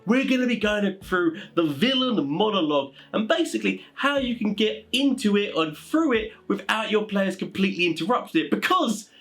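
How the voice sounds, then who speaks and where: A man hosting a casual explainer for tabletop game masters, talking in an animated, rambling, informal way. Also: sits high, normal speed, hardly any pauses. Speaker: video host giving tabletop game master advice.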